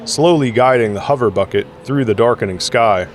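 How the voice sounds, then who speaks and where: A man's voice: mid-range voice, brisk, a little hiss or hum. A man reading scripted dramatic story narration as the narrator of an audio drama.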